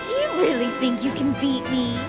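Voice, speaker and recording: A woman's voice: high-pitched, big pitch swings, moderate pace. A woman voicing a playful, scheming female android villain in a video game cutscene, sugary and theatrical. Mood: teasing, smug. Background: loud music.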